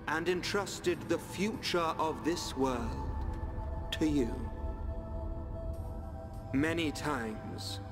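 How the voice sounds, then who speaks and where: A man playing a calm, enigmatic guide in a video game cutscene, speaking in a solemn, measured, oracular way. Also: on the high side. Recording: long hall reverb, orchestral music behind.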